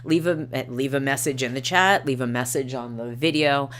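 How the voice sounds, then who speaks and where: A woman's voice: rumbling low, moderate pace, a bit bright, a little hiss or hum. A woman hosting a livestream, chatting casually and reading articles aloud with frequent ums and uhs. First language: not Norwegian. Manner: hesitant, many ums and uhs, reads quotes aloud. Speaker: livestream host.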